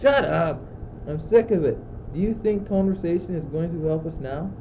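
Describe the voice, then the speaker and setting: Anxious, brooding courtier, played by a male student, clipped declamatory lines, higher-pitched, school radio drama, heavy noise. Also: normal speed, close to an everyday voice. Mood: weary, fed up. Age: teenager. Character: anxious, exasperated young courtier, brooding and fatalistic. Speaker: student actor. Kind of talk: school radio play acting. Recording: muffled phone-like old tape, loud noise.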